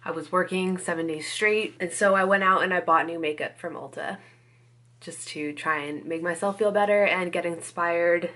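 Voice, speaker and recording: A woman's voice: fairly low pitch, a bit bright. A woman chatting casually while doing her makeup, still a little congested from a cold, with lots of "like" and "you guys". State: recovering from a cold, a bit congested. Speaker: beauty vlogger. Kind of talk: casual get-ready-with-me chat.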